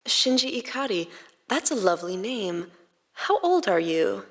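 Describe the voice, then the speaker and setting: A woman voicing a calm, coaxing doctor with a sweet, gentle manner in a story reading. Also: mid-range voice. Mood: gently coaxing. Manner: slow, gentle, sweetly patient. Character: soft-spoken woman doctor, calm, coaxing, falsely warm. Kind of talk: dramatized fan fiction reading.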